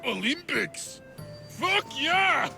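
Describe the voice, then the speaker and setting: Dim, gravelly, high-pitched boastful brute. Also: male voice, big pitch swings, bright, forceful tone, soft background music, heavily acted. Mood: boastful, excited. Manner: boisterous, slow-witted, broken grammar. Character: dim, boastful, hulking Greek champion athlete man. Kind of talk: video game character acting.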